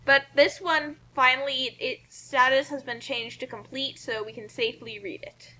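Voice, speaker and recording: A woman's voice: high-pitched, fairly even intonation, normal speed, sharp tone, slight background noise, low-quality recording. A woman co-hosting a read-along in a casual, chatty, explanatory way. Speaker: co-host reading fan fiction aloud. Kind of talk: casual banter and commentary.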